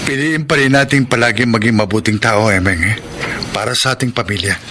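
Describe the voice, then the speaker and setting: A male actor as a principled, dignified labourer in a radio drama. His mid-pitched voice is earnest, with clear noise behind it. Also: fairly quick, close to an everyday voice. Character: upright, dignified young male construction worker. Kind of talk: Filipino radio drama acting. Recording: noisy radio drama recording.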